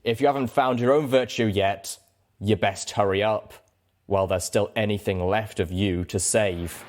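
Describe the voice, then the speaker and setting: Grim pony trader and father, male reader, deep register, slow deliberate delivery, bitter and worn down, audiobook character. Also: steady tone, darkish tone, slight background noise, lightly acted.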